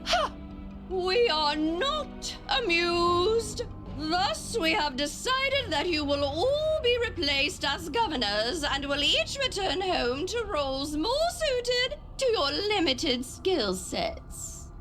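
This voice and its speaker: An imperious game queen. Her very high voice moves slowly with pompous, sweeping inflection, music playing behind. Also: big pitch swings, exaggerated voice. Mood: scornful. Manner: regal, pompous. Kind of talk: scripted video game cutscene acting.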